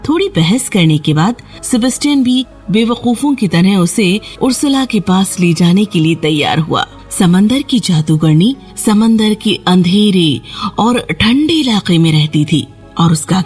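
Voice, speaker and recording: A woman's voice: fairly low pitch, a little mellow, slightly rough. A woman storyteller reading a scripted fairy tale narration for children. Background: background music.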